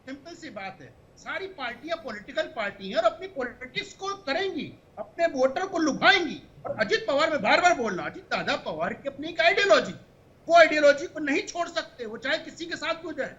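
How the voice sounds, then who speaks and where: A man's voice: very high-pitched, very animated, fairly quick, bright, forceful tone, highs cut off. A man in his fifties arguing combatively as a party spokesman in a heated TV panel debate. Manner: combative, talks over interruptions.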